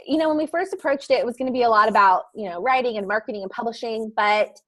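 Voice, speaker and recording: A woman co-hosting a podcast, chatting excitedly in a casual panel and laughing often. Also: on the high side, quick pace, dry recording. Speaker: podcast co-host.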